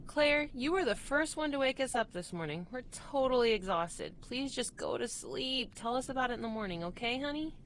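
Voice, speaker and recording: A woman's voice: high voice, animated, brisk, hardly any pauses, fairly bright tone, slight background noise. An actress playing an exhausted, sleepy but patient mother in a children's audio drama, drowsy and placating.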